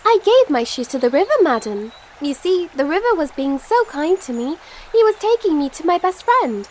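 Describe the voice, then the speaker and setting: A determined young girl of sixteen played by a woman in a fairytale audio play. Her very high, lively voice is earnest and polite, recorded with faint noise behind it. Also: moderate pace. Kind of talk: amateur audio play acting.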